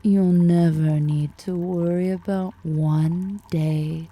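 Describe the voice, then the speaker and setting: A woman playing a seductive, powerful female drider in an ASMR roleplay, toying and sultry, murmuring with a breathy, husky edge. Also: lowish voice, steady tone, slow, warm tone. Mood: persuasive. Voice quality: breathy, husky whisper-edged.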